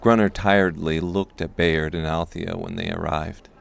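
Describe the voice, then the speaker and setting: Deep, gravelly male audiobook narrator. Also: steady tone, normal speed.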